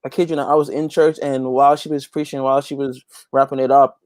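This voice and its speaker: A man's voice: mid-range voice, little pitch movement, fast talker, darkish tone, no echo. A man chatting casually as an interview guest, often repeating phrases for emphasis. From Guyana. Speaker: interview guest, gospel reggae singer and youth pastor.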